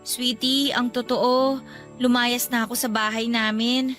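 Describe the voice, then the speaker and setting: Needy runaway girlfriend for radio drama, played by a woman: high, bright voice, plaintive and sulky. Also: flat delivery, measured pace, soft background music. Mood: hesitant, troubled. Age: young adult. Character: upset, needy young girlfriend who ran away from home. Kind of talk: Tagalog radio love drama acting.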